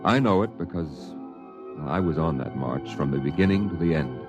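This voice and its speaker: An actor playing a reflective man recalling his boyhood, narrating an old-time radio drama in a grave, measured, dramatic way. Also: very deep voice, lively intonation, highs cut off, music in the background.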